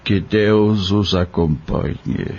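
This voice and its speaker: Man acting a slow, deep-voiced, kindly country doctor. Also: fairly even intonation, muffled recording. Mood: gravely advising. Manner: solemn, measured, gently preaching. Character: kind, grave male country doctor, devout and fatherly. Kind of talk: audio drama acting.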